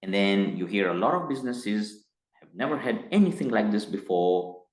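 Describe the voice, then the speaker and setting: A middle-aged man from Tanzania, speaking as an interview guest in a measured, hesitant way that turns emphatic. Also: on the low side, expressive. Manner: measured, hesitant with frequent uh fillers, grows emphatic. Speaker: guest, company executive. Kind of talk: interview conversation. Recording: roomy echo.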